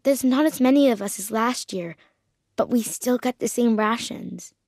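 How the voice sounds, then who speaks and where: A hungry, worried refugee child from a game, fretting in a fairly high, plain young voice. The sound is close and dry, with no room around it. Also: female voice, normal speed, vocal fry, darkish tone, no room sound. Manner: anxious, wondering, plain-spoken. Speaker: video game background character. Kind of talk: video game voice lines.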